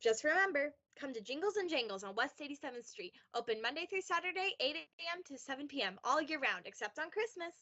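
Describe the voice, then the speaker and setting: Woman playing upbeat radio ad saleswoman, high voice, scripted pitch, lo-fi audio. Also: very animated, quick pace, hardly any pauses, bright, forceful tone, full character voice. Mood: cheery, promotional. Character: cheery shop saleswoman in mock radio advert.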